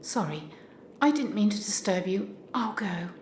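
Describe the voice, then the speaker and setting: A woman's voice: mid-range voice, lively intonation, measured pace, darkish tone, slight background noise, natural voice. A woman playing an anxious, curious new governess in an audio drama, earnest and questioning. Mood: hesitant. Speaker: amateur actor. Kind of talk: community audio drama acting.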